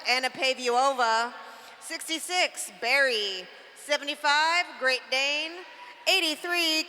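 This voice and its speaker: High, bright female announcer in reverberant hall. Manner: measured, emphatic announcing. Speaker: arena announcer. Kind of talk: team roster introduction over a PA.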